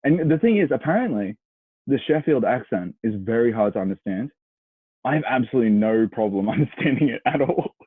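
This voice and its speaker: A young Australian man chats as a podcast co-host, blunt and sweary. His voice is low and husky. It comes through dry and narrow, like a phone line. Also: animated, fairly quick, no room sound. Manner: blunt, opinionated. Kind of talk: casual, sweary banter between mates. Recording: muffled phone-like radio sound.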